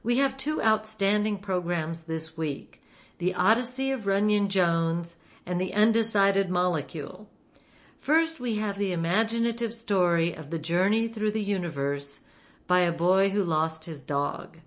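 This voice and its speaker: A woman's voice: mid-range voice, measured pace. A woman giving a scripted introduction as host of a radio programme.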